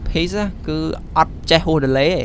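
A young male journalist in a radio drama, played by an actor. He is a playful know-it-all who talks very quickly. Noisy outdoor ambience sits behind him. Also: on the high side, little pitch movement, lightly acted. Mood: teasing, explaining.

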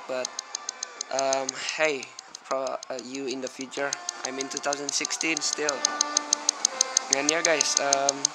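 A young man from Indonesia chats his way through a mobile soccer match, rambling, losing his train of thought, then yelling at the big plays. His voice sits at an ordinary middle pitch. Loud noise and clicks run under the whole recording, with fireworks going off outside. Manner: rambling, easily distracted, bursts out loud at plays. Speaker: small gaming YouTuber. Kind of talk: casual mobile gameplay commentary. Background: fireworks bangs from the neighborhood.

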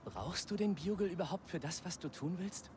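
A man voicing a gentle, supportive young man in a dubbed video game. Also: sits high, fairly quick, background noise, natural voice. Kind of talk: German game dub.

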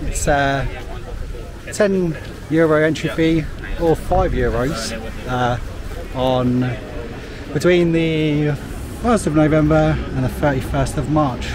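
A man's voice: on the high side, slow pace, a crowd in the background. A man, chatting casually to a handheld camera as he walks around a city, rambling with lots of fillers. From England. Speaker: travel vlogger. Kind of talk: casual walking vlog. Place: outdoors on busy city streets. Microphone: handheld camera at arm's length. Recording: noisy outdoor street recording. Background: street ambience and traffic.

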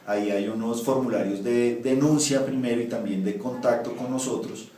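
A man's voice: mid-range voice, fairly even intonation, normal speed, mellow. A man in his thirties, a municipal official, answering interview questions with many restarts and fillers in an office. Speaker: municipal ombudsman. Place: office with window blinds. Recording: echoey office from far off.

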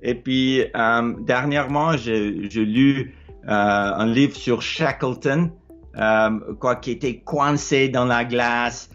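A middle-aged man with an Afrikaans accent who speaks French as a second language, talking casually and haltingly about his explorer life, recorded indoors on a close-held phone. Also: mid-range voice, fairly bright tone, dull audio, faint music behind. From South Africa. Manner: hesitant, repeats words, searching for words. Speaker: explorer sharing advice. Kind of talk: casual advice monologue. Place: wood-ceilinged room indoors. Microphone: phone held close, low angle.

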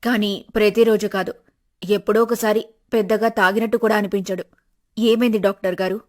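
A woman's voice: medium pitch, fairly even intonation, brisk, lightly acted. A woman voicing a fretful, plain-spoken older mother-in-law. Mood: worried. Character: worried, practical older wife and mother-in-law. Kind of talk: animated family story acting.

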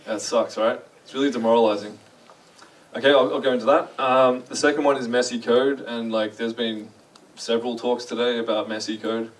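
A man's voice: mid-range voice, fairly even intonation, a little fast, distant mic, poor audio. Australian man giving a casual conference talk. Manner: chatty, self-deprecating, asks the audience questions. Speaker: conference speaker. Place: large dark lecture hall.

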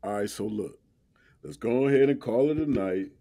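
A man's voice: mid-range voice, moderate pace, creaky, mellow. An American actor playing a protective young man on a date, speaking earnest, slangy dialogue in an audio drama. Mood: reassuring, protective.

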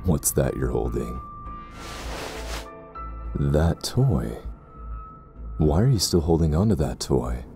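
A man playing a sinister, condescending zealot in an audio roleplay drama, speaking in a formal, measured way. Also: extremely low pitch, lively intonation, creaky, warm tone, faint music behind, heavily acted. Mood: mocking, condescending. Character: sinister cursed brother, pompous, coldly condescending zealot.

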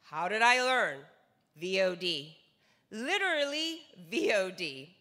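A woman's voice: mid-range voice, sing-song, slow pace, pushed and bright. A woman in her forties with a North American accent gives an emphatic sales lecture on stage into a handheld mic, repeating words for stress and asking rhetorical questions. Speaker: business seminar speaker. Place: large stage in a hall. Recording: long hall reverb.

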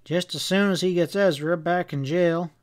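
A man's voice: high voice, normal speed, clean tone, pitched above the performer's own voice, close to an everyday voice. A man voicing an anxious, stubborn frontier husband in a storybook western, with rustic, hesitant, stammering talk. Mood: uneasy, defensive.

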